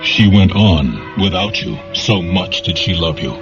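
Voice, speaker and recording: A solemn consoler at a deathbed. His very deep voice is grave, measured and reverent, with loud music behind it and a slightly dull radio drama sound. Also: expressive. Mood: solemn, consoling. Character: solemn male attendant consoling a dying lord. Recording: dull recording under music.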